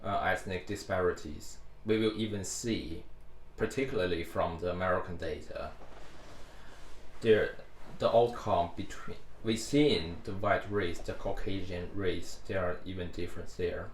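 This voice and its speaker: Low-voiced guy, halting and unsure, roomy echo with a little hiss. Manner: hesitant, halting with uh and repeats. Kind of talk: casual discussion. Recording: echoey room, faint noise.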